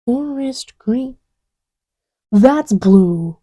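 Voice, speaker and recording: A woman talks in a mellow, unhurried voice, recorded close and dry. She rambles playfully and teases like she is chatting to a partner beside her, often slipping into a near-whisper. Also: fairly high pitch, expressive, dry recording. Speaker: audio roleplay creator playing a partner to the listener. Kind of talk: casual intimate roleplay chat while testing markers.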